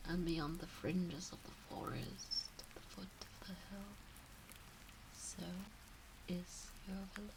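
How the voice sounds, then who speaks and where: Woman doing a doting kitsune witch asmr, slow n breathy w some creak, teasing, whispering up close. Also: mid-range voice, poor audio. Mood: hushed, gently condescending. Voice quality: breathy, soft, often slipping into whisper. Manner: slow, coaxing, teasing baby-talk. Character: teasing, doting kitsune fox witch fussing over her pet. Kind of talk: ASMR roleplay, whispery and intimate. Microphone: close ASMR microphone.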